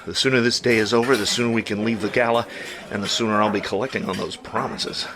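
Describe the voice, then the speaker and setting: An actor playing an easygoing, playful and supportive boyfriend in an audio drama, with casual banter. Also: male voice, lowish voice, fairly even intonation, fast talker, background noise, natural voice. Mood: playful, teasing.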